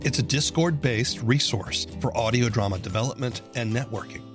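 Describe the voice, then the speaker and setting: A man's voice: mid-range voice, moderate pace, over music. A man hosting a podcast, with scripted narration and interview questions. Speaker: podcast host.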